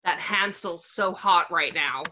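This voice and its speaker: A woman playing a scheming, high-strung fashion designer and awards announcer in a comedy script read over video call. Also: measured pace, sharp tone, a little hiss or hum. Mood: conspiratorial. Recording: dull, lo-fi video call audio.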